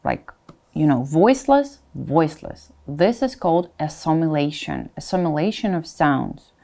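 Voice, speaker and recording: A woman teacher giving a pronunciation lesson, explaining to learners in an encouraging way and sounding out example words deliberately. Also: deep voice, lively intonation, dark tone. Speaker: Russian language teacher.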